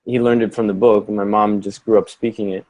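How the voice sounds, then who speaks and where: A man's voice: lowish voice, flat delivery, quick pace, a little mellow, rough recording. A man from Canada whose first language is German, a podcast guest musing aloud and repeating words as he gathers his thoughts. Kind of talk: conversational podcast interview.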